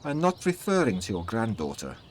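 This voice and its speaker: A man voicing a young-looking immortal huntress with a German accent, measured and formal, in a fantasy audio story. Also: lowish voice, wildly swooping pitch, slight background noise. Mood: guarded. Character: young-looking German huntress, two hundred years old, guarded, neutral.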